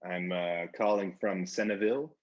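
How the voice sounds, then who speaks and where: A man calling in to a phone-in show, chatting casually in a halting, tentative way. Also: low-pitched, steady tone, a little mellow, highs cut off. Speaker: phone-in caller. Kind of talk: hesitant casual phone chat.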